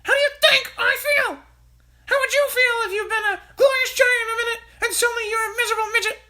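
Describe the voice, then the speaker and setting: A storyteller voicing a horrid, greedy old grandmother who screeches and yells with fury. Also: steady tone, fast talker. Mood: raging. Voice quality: shrill, screeching. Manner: yelling, shouting. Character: horrid ancient giant grandmother, furious and greedy. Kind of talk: storybook read-aloud.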